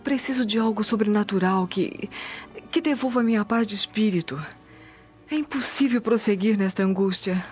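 A woman's voice: mid-range voice, moderate pace, darkish tone, close to an everyday voice. An actress playing a weary, anguished Roman noblewoman and mother in a radio drama. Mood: weary, troubled. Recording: dull lo-fi radio drama audio. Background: music bed.

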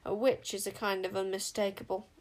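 A woman voicing a proud, imperious warrior queen in an audiobook, brisk, haughty and commanding. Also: mid-range voice, little pitch movement, natural voice.

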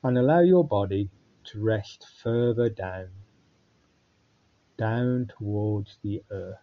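A man guiding a body scan meditation in a calm, unhurried way with long pauses. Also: lowish voice, highs cut off. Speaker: meditation guide.

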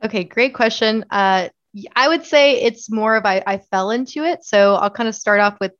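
A woman's voice: medium pitch, moderate pace, a bit bright. Casting: American female podcast guest, informal conversational delivery, slightly lo-fi sound. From Arizona, United States. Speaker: podcast guest, digital marketing company founder. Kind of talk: casual chat.

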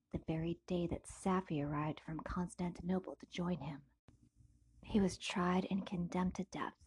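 A woman with a breathy, husky, asthmatic voice, hosting a podcast and reading aloud. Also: on the low side, dark tone, low-quality recording. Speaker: podcast host and book reader. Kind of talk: casual podcast intro, then audiobook-style reading of a classic novel.

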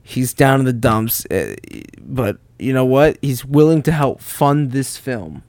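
A man's voice: mid-range voice, animated, a little slow, a little mellow, no echo. A man chatting and joking in casual podcast banter, laughing often.

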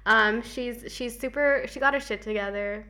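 A woman's voice: a little high, moderate pace, pushed and bright. A twenty-year-old woman co-hosting a podcast in casual chat, with a playful, informal, teasing manner. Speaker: podcast co-host.

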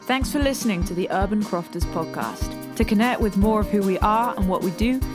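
A woman reading a scripted podcast outro in a clear, promotional way. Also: medium pitch, fairly quick. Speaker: podcast announcer. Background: music.

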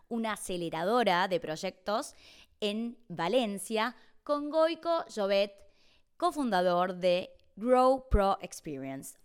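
A woman's voice: mid-range voice, clean tone. A woman, interviewing a guest as a podcast host.

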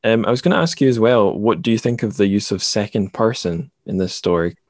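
A man's voice: lowish voice, brisk, a little mellow, dry recording, clean studio sound. A man in his twenties hosting a podcast, discussing books in a casual, conversational style. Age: 20s. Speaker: podcast host, interviewer.

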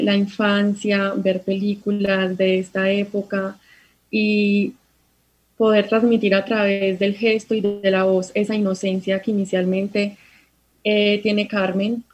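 A young woman, giving measured, reflective answers as an interview guest. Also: medium pitch, a little mellow, low-quality recording. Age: young adult.

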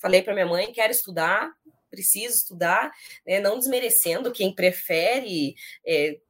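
A Brazilian woman in her thirties with a rural São Paulo accent and drawn-out r, talking casually as an interview guest. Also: medium pitch. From São Paulo state, Brazil. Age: 30s. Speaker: interview guest, education professional.

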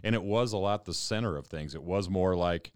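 A man's voice: sits low, fairly quick, clear, resonant tone, no echo, clean studio sound. A man pastor talking thoughtfully in a casual panel discussion, often repeating words as he thinks.

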